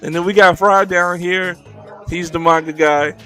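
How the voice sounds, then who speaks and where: A man's voice: high-pitched, steady tone, moderate pace, sharp tone, soft background music. A man hosting a casual anime podcast, joking and laughing easily as he introduces his co-hosts. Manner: laid-back, joking, laughs often. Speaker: podcast host.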